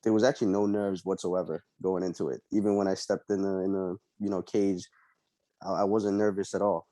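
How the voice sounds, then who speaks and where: A young man from El Salvador, a fighter being interviewed, answering casually and haltingly with many ums and uhs. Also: sits low, level tone, warm tone, no room sound. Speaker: MMA fighter guest.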